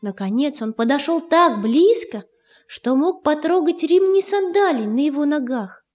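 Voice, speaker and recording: A woman narrating a children's tale as an audiobook, in an expressive, measured literary manner. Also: high-pitched, faint music behind. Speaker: storyteller, narrator.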